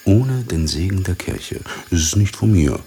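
An actor in a radio drama playing a pompous, befuddled, moralizing army captain who speaks haltingly and repeats himself. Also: male voice, deep voice, animated, dark tone, slightly rough, heavily acted. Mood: pompous, indignant. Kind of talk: theatrical radio drama acting.

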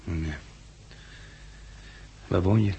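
A man's voice: low-pitched, very slow, gravelly, mellow, muffled recording, exaggerated voice. An actor playing a cautious, protective, level-headed man among the survivors in a 1960s radio drama. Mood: sober, practical. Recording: old radio recording with faint hum.

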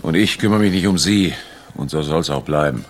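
An actor playing a gruff but obliging country storekeeper in a German radio drama, terse and offhand. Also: male voice, a bass voice, brisk. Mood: gruff, indifferent.

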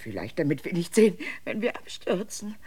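A woman's voice: lowish voice, sing-song, brisk, noisy background. A woman playing a genteel, anxious old lady in a science fiction radio drama, polite and tentative. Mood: nervously chatty.

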